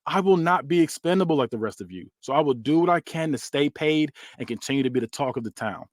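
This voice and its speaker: A man voicing a scheming male wrestling champion who talks smoothly and self-servingly. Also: on the high side, lively intonation, fast talker, dry recording, lightly acted. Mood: smug. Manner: smooth-talking, manipulative.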